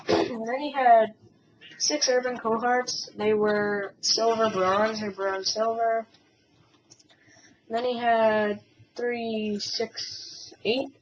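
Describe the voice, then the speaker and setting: A woman giving casual, hesitant commentary on a replay of a strategy game battle, a bit hoarse from a cold. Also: mid-range voice. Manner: hesitant, many ums and restarts. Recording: distant, muffled mic with faint hiss.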